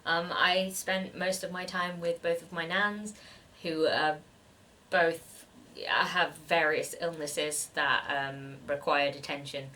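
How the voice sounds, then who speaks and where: A woman talks through her book haul in a slightly low, congested voice, nasal from a cold. She rambles in a self-deprecating way, full of ums and uhs. She sits a little back from the mic. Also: steady tone, slow, sharp tone, distant mic. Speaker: book vlogger. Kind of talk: chatty haul vlog.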